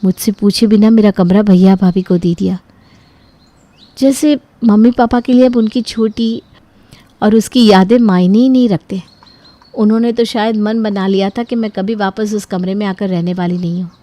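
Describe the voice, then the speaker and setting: A woman's voice: medium pitch, moderate pace, warm tone, no echo, natural voice. A woman voicing a young married daughter in a cartoon story, polite but easily wounded and given to complaint. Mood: hurt.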